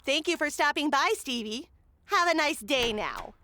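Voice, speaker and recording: A woman's voice: high-pitched, lively intonation, normal speed, sharp tone, slight background noise, heavily acted. A woman playing a breezy, falsely gracious, scheming town mayor in a comedy audio drama, with a theatrical, officious manner. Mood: coolly officious.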